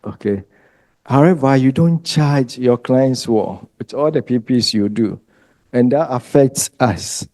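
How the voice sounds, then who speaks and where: Ghanaian man as a lecturer, slow and dry-sounding, chatty with filler pauses. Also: medium pitch, a little mellow, no room sound. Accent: Ghanaian English. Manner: unhurried, conversational, trails off with ums. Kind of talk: lecture to exam candidates.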